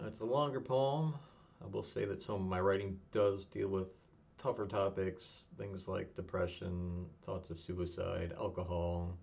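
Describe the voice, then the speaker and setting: A young man with a fairly low voice reads his own poetry aloud, steady and low-key, close to monotone. The sound is a little dull and lo-fi, like a simple home recording. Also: gravelly. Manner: low-key, even, self-described monotonous delivery. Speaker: poet reading his own work. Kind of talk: spoken word poetry reading.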